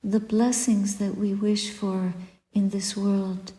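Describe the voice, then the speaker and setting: A woman guiding a meditation as a Buddhist teacher, speaking softly and deliberately in short phrases with long pauses and breathy endings. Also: mid-range voice, level tone. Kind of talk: guided meditation, spoken dharma reflection. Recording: echoey room with long reverb.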